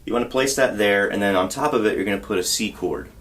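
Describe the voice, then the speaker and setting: A man teaching a song on guitar, explaining step by step in a patient, relaxed way and counting beats aloud. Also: fairly low pitch, fast. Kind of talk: guitar tutorial. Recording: loud guitar under the voice. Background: acoustic guitar playing.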